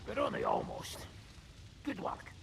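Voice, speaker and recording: An actor playing a gruff, foul-mouthed medieval nobleman in a video game, gravelly, blunt and sardonic. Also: a little slow, a bit bright, slight background noise, lightly acted. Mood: grim, wry. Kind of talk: dramatic video game dialogue.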